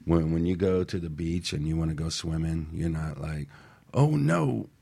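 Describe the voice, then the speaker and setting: A man's voice: low voice, moderate pace, vocal fry, dark tone. A man talking casually and earnestly in a motivational radio discussion, often checking in with "right?". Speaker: radio talk show panelist.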